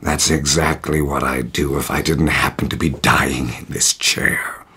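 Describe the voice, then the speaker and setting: An actor playing an ailing, bitter, lecherous former movie star in an audio drama, slurring and sardonic. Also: male voice, extremely low pitch, little pitch movement, measured pace, bright, forceful tone, full character voice. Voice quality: slurred speech. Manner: sardonic, taunting.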